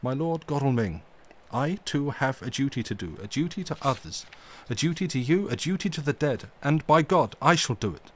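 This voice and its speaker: Man reading as stern old foreign professor, solemn, formal, halting, raised voice. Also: on the high side, animated, a little hiss or hum, voice pushed up, natural voice. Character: elderly grave foreign male professor, stern yet tender.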